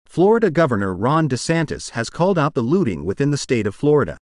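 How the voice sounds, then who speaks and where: A man's voice: medium pitch, big pitch swings, fast, darkish tone, dry recording, studio quality. A man narrating a scripted news report.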